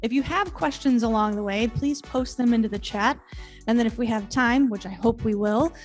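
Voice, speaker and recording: A woman's voice: fairly high pitch, normal speed, soft background music. A woman hosting a masterclass, introducing a guest in a hesitant way with many ums. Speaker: host of masterclass.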